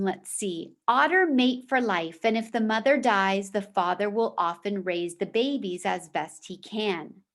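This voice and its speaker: A woman teacher reading a children's book aloud in a measured, deliberate storybook manner, with short explanatory asides. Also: on the high side.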